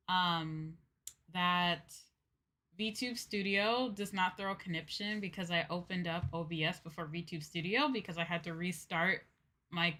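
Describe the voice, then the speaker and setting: Bright-voiced, flustered woman livestreamer. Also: mid-range voice. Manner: flustered, apologetic, scattered. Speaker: VTuber livestream host. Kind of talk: casual livestream chat.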